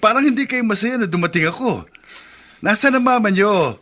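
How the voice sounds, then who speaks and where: A man's voice: sits high, expressive, moderate pace, pushed and bright. A man playing a volatile, betrayed husband and father in a melodramatic radio drama, emphatic and agitated. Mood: eager, puzzled.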